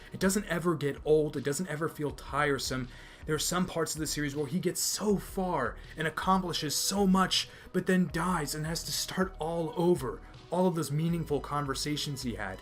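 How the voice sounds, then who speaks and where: A young man from America hosting an anime review video, emphatic and opinionated, often repeating his points. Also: a little high. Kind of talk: enthusiastic review commentary.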